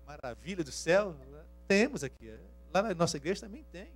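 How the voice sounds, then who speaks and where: Slightly high-voiced preacher with Minas accent. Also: male voice, lively intonation, slightly rough. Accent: Minas Gerais Portuguese. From Rio de Janeiro, Brazil. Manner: shifts from hushed asides to loud, slow emphatic scripture reading. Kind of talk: sermon to a congregation.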